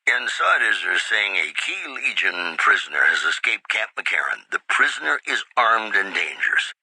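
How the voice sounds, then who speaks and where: A suave game-world radio newsman with a low, very bright, forward voice. He reads bulletins like a retro lounge showman, lilting up and down in a close, dry sound. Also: sing-song, moderate pace, breathy edge, no room sound, full character voice, poor audio. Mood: smooth, newsy. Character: suave male radio DJ and newscaster in a post-apocalyptic game. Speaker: radio host and news announcer.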